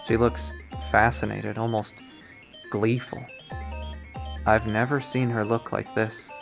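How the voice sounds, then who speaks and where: A man's voice: on the low side, level tone, takes it slowly, vocal fry, music in the background. A man reading a visual novel aloud as dramatic first-person story narration.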